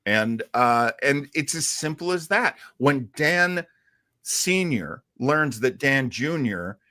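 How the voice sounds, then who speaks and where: A man hosting a show, explaining in casual talk with a halting, thinking-aloud delivery. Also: mid-range voice, animated, sharp tone, no echo, clean studio sound. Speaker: show host. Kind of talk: casual explanatory talk about old radio heroes.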